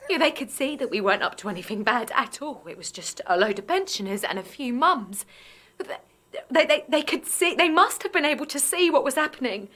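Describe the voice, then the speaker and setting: A woman's voice: high-pitched, animated, fairly quick, fairly bright tone, no echo. A woman playing an anxious, naive young mother in an intimate audio drama. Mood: distressed bewilderment. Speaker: actor and narrator in an audio drama. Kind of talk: intimate first-person audio drama acting.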